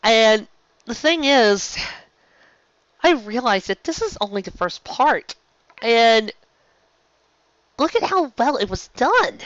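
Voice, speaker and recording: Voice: mid-range voice, sing-song, takes it slowly, pauses often, a bit bright, no room sound, lo-fi sound. A show host giving a casual, rambling fan review in a gushing, emphatic way, often tripping over words and restarting. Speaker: host of a fan fiction live-read show.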